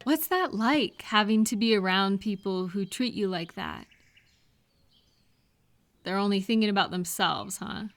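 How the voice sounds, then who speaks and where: A woman playing a kind, caring friend in a comfort roleplay audio, speaking gently and reassuringly with soft questions. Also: medium pitch, a little slow, long pauses, lightly acted. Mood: sympathetic. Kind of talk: comfort audio roleplay, one-sided conversation.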